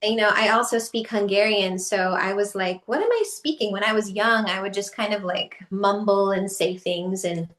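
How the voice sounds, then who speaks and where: A woman's voice: medium pitch, moderate pace, few pauses. Woman podcast guest, mid-range voice, chatty and laughing, echoey room. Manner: chatty, many fillers and laughs, lively quoted asides. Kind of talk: casual conversational storytelling.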